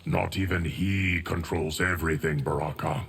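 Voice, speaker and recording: A stoic, ageless guardian speaks low and gravelly. He is grave and matter-of-fact, in a video game style with faint ambience behind. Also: fairly even intonation, normal speed, fairly bright tone, a little hiss or hum. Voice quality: deep, gravelly. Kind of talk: dramatic video game acting.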